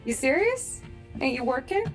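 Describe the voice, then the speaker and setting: An actress playing a wry, suspicious American gangster's wife with a dry, probing manner, in a video game drama. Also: female voice, high-pitched, big pitch swings, normal speed, over music. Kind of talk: cinematic game drama acting.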